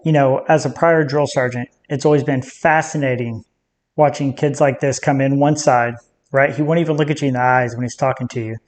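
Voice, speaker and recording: An American military veteran hosting a reaction video, speaking in a direct, conversational way. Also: male voice, medium pitch, steady tone, fairly quick. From United States.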